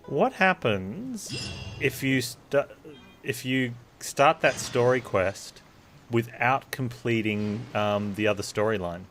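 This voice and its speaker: A man's voice: mid-range voice, animated, slow, fairly bright tone, soft background music. A man giving casual live gameplay commentary, thinking aloud and chatting with viewers. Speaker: game streamer.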